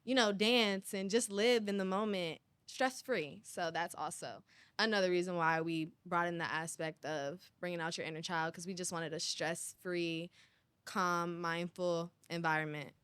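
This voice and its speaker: A young woman on a student panel, explaining things calmly. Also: on the low side, normal speed, smooth, dry recording. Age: young adult. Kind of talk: panel discussion.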